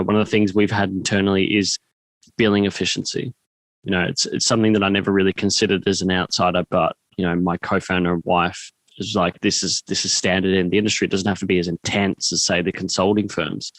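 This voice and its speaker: Lowish, dry-sounding male podcast host. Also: little pitch movement, a little fast, no room sound, rough recording. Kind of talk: conversational interview.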